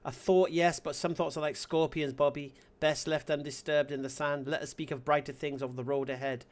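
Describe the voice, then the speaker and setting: Casting: proud expectant wife for a story game, protective and easily wounded, solemn and formal, fairly high male register man acts her out. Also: level tone, brisk, natural voice. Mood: solemn, hurt. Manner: earnest, formal, poetic speech.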